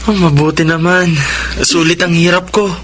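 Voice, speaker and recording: A man's voice: a little high, fairly even intonation, normal speed, a bit bright, a little hiss or hum, natural voice. A man playing a humble, anxious young waiter and devoted older brother in a Filipino radio drama, polite and deferential. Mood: warm, relieved. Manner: polite, deferential, stammering when flustered. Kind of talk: Filipino radio drama acting with first-person narration.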